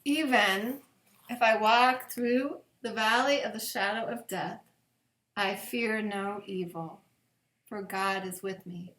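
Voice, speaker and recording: A woman pastor reading scripture aloud as a children's bedtime story, in measured, deliberate phrases with long pauses. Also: mid-range voice, a bit bright. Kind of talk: gentle read-aloud of scripture picture books. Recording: echoey room.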